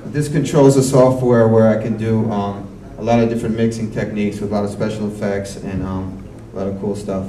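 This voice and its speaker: A man's voice: on the low side, brisk, few pauses, crowd noise. Man explaining gear, roomy and distant. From United States. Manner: relaxed, rambling with many fillers. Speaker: workshop guest musician and producer. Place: workshop room at a cultural venue. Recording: roomy venue, mic from far off.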